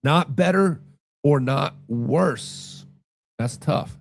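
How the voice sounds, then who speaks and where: A man hosting a casual football talk stream, his voice worn hoarse and gravelly from being sick, rambling and repeating himself with lots of fillers. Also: fairly high pitch, very slow. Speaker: podcast host. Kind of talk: casual sports talk reading live chat.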